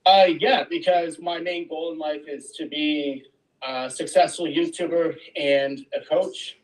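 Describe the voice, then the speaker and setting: An earnest man speaks about his goals, thinking aloud with small restarts. His voice is a touch high for a man and sounds set back from the mic. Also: fairly even intonation, unhurried, distant mic, rough recording. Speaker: workshop participant. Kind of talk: casual personal sharing.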